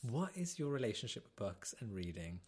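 A man's voice: fairly low pitch, sing-song, brisk, darkish tone, breathy edge. A man co-hosting a casual podcast, bantering in a playful, teasing, camp manner. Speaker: podcast co-host and interviewer.

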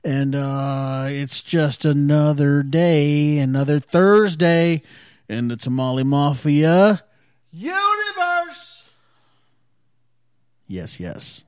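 A man's voice: fairly high pitch, very animated, drawn-out words, clear, resonant tone, no echo, clean studio sound. Laid-back rambling male podcast host. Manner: laid-back and rambling, with frequent fillers and chuckles. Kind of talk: casual solo podcast chat. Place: at home by an open window.

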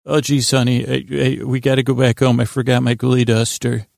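Man playing a gravelly, bossy Tooth Fairy wife. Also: mid-range voice, flat delivery, moderate pace, a little mellow, no room sound, studio quality, natural voice. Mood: gently insistent.

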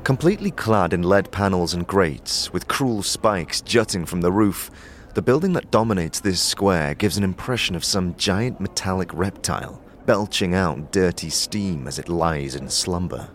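A man's voice: sits low, animated, moderate pace, hardly any pauses, slight background noise. A man reading scripted second-person narration for a fantasy audio drama. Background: music.

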